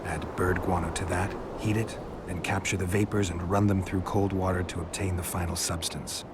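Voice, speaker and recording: An actor playing a gruff, world-weary monster hunter in a video game, speaking in a deadpan, measured way. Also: male voice, sits low, very noisy, lightly acted. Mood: quiet, musing.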